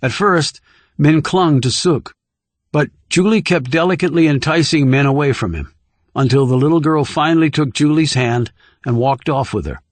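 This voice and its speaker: A man's voice: a little high, moderate pace. A man reading scripted thriller narration as an audiobook narrator.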